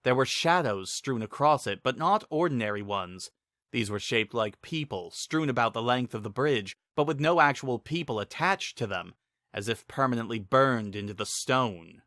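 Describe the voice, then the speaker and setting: A man's voice: medium pitch, expressive, moderate pace, a bit bright, dry recording, clean studio sound. A man giving a dramatic scripted narration of a fantasy adventure story.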